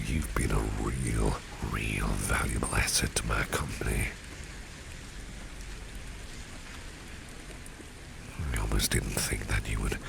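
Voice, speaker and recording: Over steady rain noise comes a man acting as a suave, protective boss in an intimate ASMR roleplay. His half-whispered voice is extremely low and heavily creaky. He is courteous and flirtatiously insistent, with a menacing edge underneath. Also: exaggerated voice. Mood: smooth, flirtatious. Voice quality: gravelly, breathy half-whisper. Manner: unhurried, courteous, flirtatiously insistent, repeats phrases. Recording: clear background noise with rain ambience.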